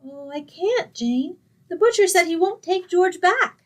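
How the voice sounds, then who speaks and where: A woman's voice: extremely high pitch, expressive, measured pace. A woman playing a sweet, naive, scatterbrained young roommate in an old-time radio comedy, earnest and innocent. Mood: innocent, cheerful. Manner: earnest, innocent, dim-witted.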